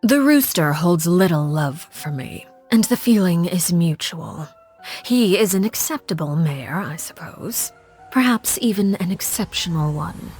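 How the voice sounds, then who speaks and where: A commanding, aloof woman from a video game, with a fairly low voice. She delivers formal monologues in a measured, composed way with quiet menace. Music plays underneath. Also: animated, slightly rough. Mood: cool, disdainful. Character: cold, composed, commanding woman, a Harbinger, judging her colleagues.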